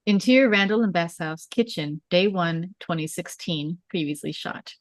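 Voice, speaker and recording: Low-pitched woman reading stage directions. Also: moderate pace, dry recording. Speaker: narrator reading stage directions at a table read. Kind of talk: scripted narration, script read aloud.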